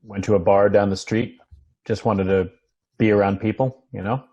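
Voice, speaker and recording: Casting: melancholy, unemployed husband male actor, low husky voice, understated and halting delivery for naturalistic TV drama. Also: fairly even intonation, warm tone, muffled recording. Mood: subdued, wistful. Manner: hesitant, understated. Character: married unemployed man, melancholy and hesitant. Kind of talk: naturalistic table read of a TV drama.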